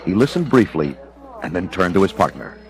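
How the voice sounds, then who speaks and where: Deep-voiced male storybook narrator. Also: very animated, brisk, a little mellow, rough recording. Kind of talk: dramatic scripted storybook narration. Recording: music behind the voice.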